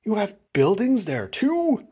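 A man voicing an earnest, gentle elephant in a storybook, protective and worried, with emphatic, pleading delivery. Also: high-pitched, sing-song, measured pace, husky, pitched above the performer's own voice, full character voice. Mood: amazed, reassuring.

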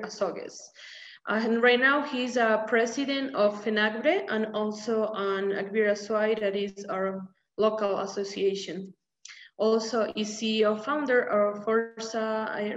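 A young woman in her twenties with an Ecuadorian Spanish accent, a native Spanish speaker, reading a speaker's bio with some hesitation on a video call. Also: mid-range voice. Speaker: presenter introducing a speaker. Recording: echoey, dull call audio with faint noise.

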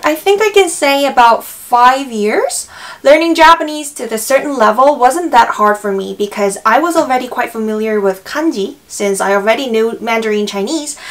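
A young Korean woman with a slightly high voice reads out viewer questions and answers them cheerfully. She speaks English with her native accent, recorded on a camera in front of her in a small bright room. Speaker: language vlogger. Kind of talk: casual Q&A to camera.

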